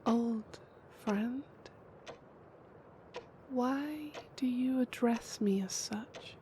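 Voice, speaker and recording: An actress playing a calm, kindly, mysterious stranger who brings death, speaking softly and gently in an audio drama. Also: female voice, on the high side, a little hiss or hum. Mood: solemn. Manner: soft, measured, gentle. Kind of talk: audio drama character acting.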